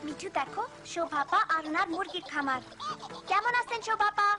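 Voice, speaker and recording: A woman voicing a bright, curious, caring little village girl in a cartoon, with a rural Bangladeshi accent and music behind. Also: very high voice, lively intonation, moderate pace. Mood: friendly, curious. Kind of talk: cartoon voice acting. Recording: music under the voice.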